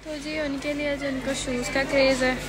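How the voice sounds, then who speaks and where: A woman's voice: high-pitched, flat delivery, normal speed, loud music behind the voice. A young woman from Pakistan vlogging to her phone in a busy mall with a sore throat, chatting casually and mixing in English. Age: young adult. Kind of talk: casual shopping vlog to camera. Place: busy indoor shopping mall. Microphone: phone held at arm's length. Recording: loud crowd and mall noise.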